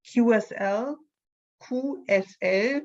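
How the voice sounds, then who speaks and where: Slow German-accented woman, muffled audio. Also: on the high side, a little mellow. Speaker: language teacher. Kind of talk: reading out terms for learners. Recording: muffled online call audio.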